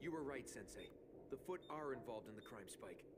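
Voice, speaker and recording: A man's voice: medium pitch, animated, moderate pace, very noisy, natural voice. An actor voicing an earnest young male mutant turtle who leads the group and gives orders. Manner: commanding, earnest. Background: game music.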